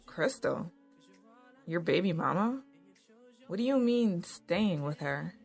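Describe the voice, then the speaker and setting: A woman acting a sharp-tongued, jealous but caring lover in naturalistic audio drama dialogue. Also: lowish voice, animated, takes it slowly, gravelly, heavily acted. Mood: skeptical, jealous. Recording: music behind the voice.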